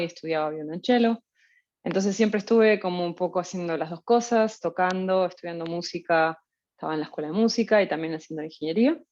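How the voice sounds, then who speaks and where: A woman with a Uruguayan Spanish accent gives a casual guest lecture, easygoing and full of small fillers and restarts. Her voice sits a little low for a woman. The audio is somewhat muffled and lo-fi. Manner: relaxed, many fillers and restarts. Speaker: guest lecturer, postdoctoral researcher. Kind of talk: informal academic talk.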